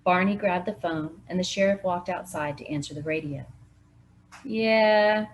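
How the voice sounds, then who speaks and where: A woman reading a mystery novel aloud as a volunteer, narrating in a steady first-person voice. Her pitch sits on the low side, and faint noise hums underneath. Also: fairly quick, highs cut off. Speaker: volunteer reader.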